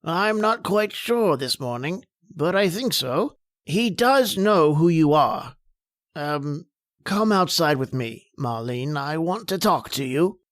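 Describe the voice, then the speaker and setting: An actor playing a grave, kindly family doctor in an old-time radio drama, speaking in a measured, concerned way. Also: mid-range voice, lively intonation, fairly bright tone, dry recording, studio quality.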